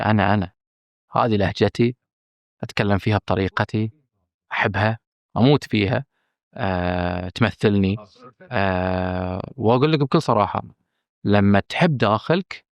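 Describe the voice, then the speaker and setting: A man with a Bahraini accent, a film director speaking candidly as a podcast guest, emphatic and earnest, often pausing on fillers and restarting. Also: fairly low pitch, dry recording. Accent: Bahraini Arabic. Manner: earnest and emphatic, stressing his frankness, with hesitant fillers and restarts.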